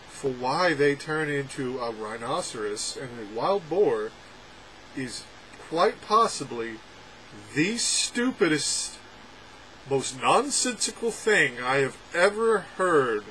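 A young adult man reviewing a film from a room at home, talking sarcastically with animated, exaggerated outbursts. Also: fairly high pitch, slow, a little hiss or hum, rough recording. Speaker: movie reviewer. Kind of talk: casual ranting film review. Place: home room with packed shelves, indoors. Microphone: webcam framing at desk.

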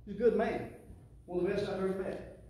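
A man's voice: high-pitched, fairly even intonation, brisk, dark tone. A middle-aged man with a Southern US accent preaching a sermon in a folksy, emphatic way, repeating phrases and calling on the congregation to respond. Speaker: pastor preaching to a church congregation. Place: church sanctuary. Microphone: handheld mic through PA, filmed from the pews. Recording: echoey church PA, distant, faint noise.

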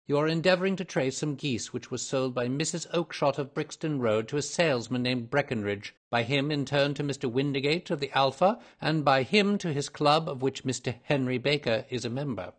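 A man voicing a shrewd gentleman detective in a storybook, smooth, courteous and self-assured. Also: mid-range voice, fairly quick, hardly any pauses, voice pushed up, natural voice. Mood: bland, confident. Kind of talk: audiobook character voice.

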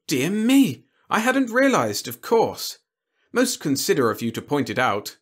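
A man's voice: on the high side, huge pitch swings, measured pace, pitched above the performer's own voice, full character voice. A man voicing a genteel, pompous alien warlord in an audiobook, with fussy, over-polite, mannered speech. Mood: dismayed, fussy. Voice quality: scratchy. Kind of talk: audiobook character dialogue.

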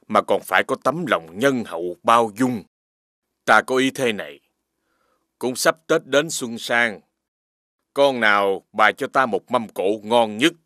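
An actor playing an aged, dignified king in an animated fairy tale, speaking solemnly and with command. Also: medium pitch, no room sound, clean studio sound. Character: aged, dignified king addressing subjects and sons.

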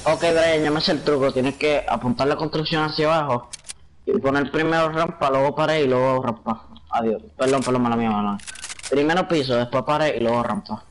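A man's voice: medium pitch, fairly quick, poor audio. A young Puerto Rican man with a partly Mexican-tinged Spanish accent, explaining game tricks to friends over a headset. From Puerto Rico. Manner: explaining, counting aloud. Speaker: gaming friend. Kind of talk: casual gaming chat.

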